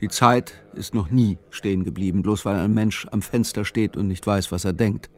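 A man narrating a literary audio drama in measured, clipped, matter-of-fact phrasing. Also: on the low side, darkish tone. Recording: music behind the voice. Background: music.

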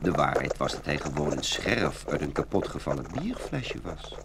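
A man narrating a children's fairy tale in an expressive, scripted storytelling style. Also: very deep voice, moderate pace, creaky. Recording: hum and steady noise.

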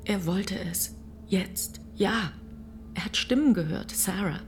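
An actress playing a fearful, doubting, loyal farmer's wife in a radio play, hushed, anxious and sceptical. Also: female voice, medium pitch, takes it slowly, slight background noise. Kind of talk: German radio play acting.

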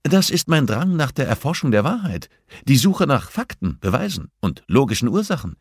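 A man's voice: mid-range voice, very animated, moderate pace, slightly rough, dry recording, studio quality, voice pushed up. Man voicing a provocative, smug young student. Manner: pointed, challenging.

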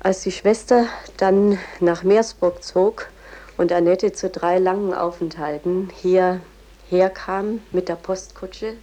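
A woman's voice: mid-range voice, mellow, lightly acted. A woman as a tour guide, explaining a poet's house in a chatty, informative way. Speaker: museum guide.

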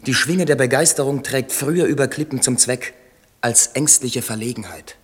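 An actor playing an ardent, lofty poet friend, declaiming enthusiastically in a literary radio play. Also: male voice, mid-range voice, moderate pace, fairly bright tone, slightly rough. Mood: fervent. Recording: long reverberant hall echo.

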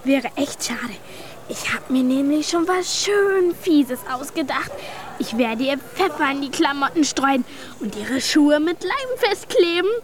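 A woman's voice: high-pitched, lively intonation, unhurried. A woman playing a brash, chatty, slightly mean schoolgirl with cheeky, slangy childlike delivery in a German children's radio play. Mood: gleeful, scheming.

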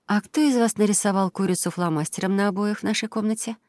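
A woman voicing a playful, thoughtful, gentle mother in a children's storybook. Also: mid-range voice, steady tone, fast talker, no echo, clean studio sound, voice pushed up, natural voice. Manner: musing, playful. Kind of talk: children's audio storybook character voice.

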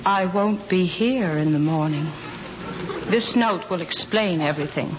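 A woman's voice: lowish voice, measured pace, natural voice. An actress playing a scheming married woman who professes forbidden love in theatrical 1940s radio comedy style. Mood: melodramatic, coy. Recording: muffled, noisy 1940s radio recording.

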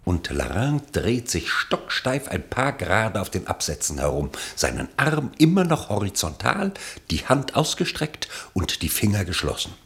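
An actor playing a wry storyteller, delivering scripted literary narration in a radio play. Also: male voice, on the low side, sing-song, moderate pace, a bit bright. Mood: measured, wry.

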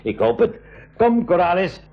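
An actor playing a concerned, commanding professor uncle in a radio drama. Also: male voice, sits high, very animated, normal speed. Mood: relieved, watchful. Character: adult professor uncle, commanding, concerned leader of young adventurers. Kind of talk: Dutch-language 1980s radio play acting. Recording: dull, noisy old radio recording.

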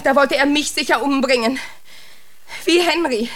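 An actress playing a respectable, shaken widow with a plaintive, innocent manner in a German radio drama. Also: female voice, high-pitched, normal speed, natural voice. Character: respectable widow, outwardly shaken, secretly scheming murderess.

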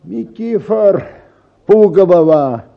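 An actor playing a humble peasant patient in a radio drama, meek and pleading. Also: male voice, sits high, expressive, slow, creaky, phone-quality sound, heavily acted. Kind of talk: Russian radio drama acting.

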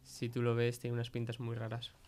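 A man's voice: medium pitch, monotone, fairly quick, darkish tone. A young man with a Spain Spanish accent giving an informal testimony as a guest, hesitant and colloquial, repeating himself. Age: young adult. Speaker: podcast guest, film producer.

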